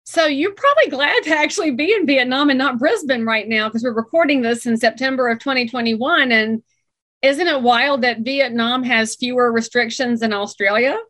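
A woman hosting a podcast, her voice sitting a little high. She talks in an animated, opinionated way as she interviews a guest. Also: moderate pace. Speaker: podcast host.

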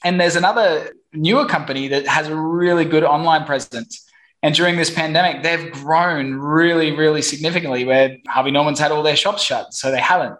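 An Australian man being interviewed over a remote call, with a bright, tense, fairly high voice. He sounds upbeat and promotional as he talks about his online business. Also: fairly quick, low-quality recording. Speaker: guest, online marketing entrepreneur. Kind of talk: interview answers.

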